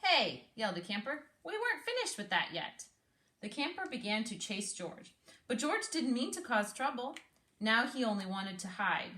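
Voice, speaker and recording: A woman reading a story aloud expressively, pausing to explain and ask questions like a teacher. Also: on the high side, sing-song, bright, forceful tone, echoey room. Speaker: speech therapist reading aloud to a child.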